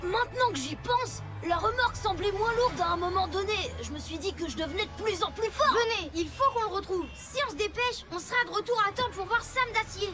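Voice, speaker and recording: A woman voicing an eager, excitable young rescue motorbike in a children's cartoon dub, with an animated, exclamatory delivery. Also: extremely high pitch, brisk, hardly any pauses, loud music behind the voice. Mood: urgent.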